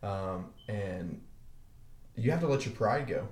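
A young man with a deep voice, low in pitch, talking in a relaxed way. He circles back and repeats himself as the stories unfold. The sound is roomy, with a clear echo. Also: takes it slowly. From Arkansas, USA. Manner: laid-back storytelling, frequent restarts and swearing. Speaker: podcast co-host. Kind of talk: casual chat. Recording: echoey room.

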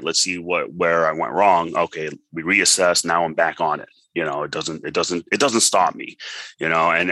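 A middle-aged man who grew up in Liberia and Lebanon, a police officer talking casually as a guest, halting and repeating himself with blunt, profane wording. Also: deep voice, sharp tone, dry recording. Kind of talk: casual podcast conversation.

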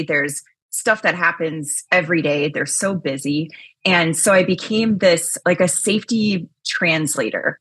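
A woman chatting as a podcast guest, loud and energetic, with a husky voice and many casual fillers. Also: deep voice, no echo. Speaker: podcast guest, chief risk officer. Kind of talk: casual interview chat.

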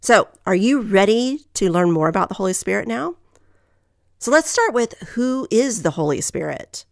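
Nasal, gravelly-voiced woman podcast host. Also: mid-range voice, big pitch swings, moderate pace, no echo, clean studio sound. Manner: earnest, encouraging, reads scripture aloud. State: getting over a head cold.

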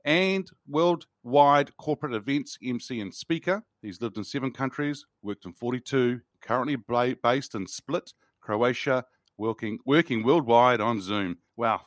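A man's voice: mid-range voice, measured pace, no echo, studio quality. A man hosting a leadership podcast, reading his opening and then interviewing a guest in an upbeat, emphatic way. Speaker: podcast host. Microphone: video call.

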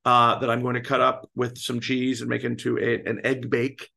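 Slightly hoarse, gravelly man in his fifties. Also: medium pitch, monotone, normal speed, sharp tone. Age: 50s. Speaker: podcast co-host. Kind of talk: casual chat.

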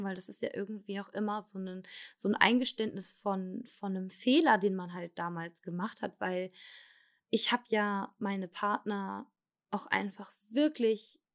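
A young woman from Germany talks through her own story in a frank, confessional way. She hesitates a lot, restarting sentences and filling pauses. Her voice sits at a middle pitch. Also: gravelly, fairly bright tone. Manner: hesitant, halting, many fillers and restarts; candid, confessional. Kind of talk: personal testimony.

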